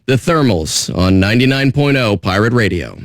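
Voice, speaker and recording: An actor playing a jaded pirate radio DJ with a sardonic, blunt, profane way of talking. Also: male voice, fairly low pitch, expressive, moderate pace, no echo, studio quality. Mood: scornful. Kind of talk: irreverent radio DJ patter.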